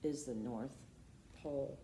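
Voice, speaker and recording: A woman's voice: very deep voice, slow pace, dark tone, full character voice. Woman voicing a bold, commanding train conductor. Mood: assured, inviting. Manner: bold, emphatic calling out. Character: brisk, commanding male train conductor in a children's storybook. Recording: roomy echo, faint background noise.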